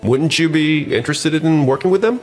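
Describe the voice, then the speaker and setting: Man acting as a scheming, excitable office worker. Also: a little high, moderate pace, lightly acted. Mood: feigned concern. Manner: stammers when cornered, excitable. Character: scheming, self-serving male office worker, flustered when cornered. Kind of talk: anime-style dramatized dub acting. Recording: music bed behind the voice.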